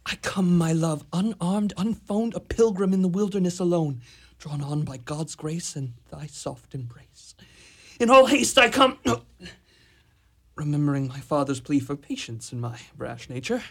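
A man's voice: on the high side, animated, a little slow, vocal fry, a bit bright, slightly rough, heavily acted. A man playing a lovesick young suitor in an amateur radio drama, declaiming ornate archaic verse earnestly. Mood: ardent.

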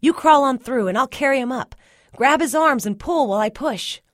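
Woman acting a blunt, determined boy, urgent and forceful. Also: fairly low pitch, animated, fast, no echo, studio quality. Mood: strained, determined. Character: bold, gruff, determined boy in danger. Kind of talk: audiobook character dialogue.

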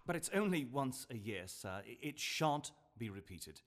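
Man doing a flustered timid clerk, stammery, wobbly pitch. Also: big pitch swings, normal speed, lightly acted. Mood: apologetic. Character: Bob Cratchit, timid apologetic clerk.

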